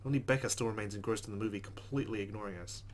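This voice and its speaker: A man's voice: on the low side, racing, a little hiss or hum. A man hosting a let's play, expressively reading visual novel narration aloud with chatty casual asides. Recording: music playing behind voice. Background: game music with guitar.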